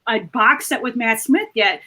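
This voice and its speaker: A woman with a somewhat high-pitched voice speaks as a fan panelist. She explains things informally and step by step, as recommendations to listeners. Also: expressive, unhurried, pushed and bright.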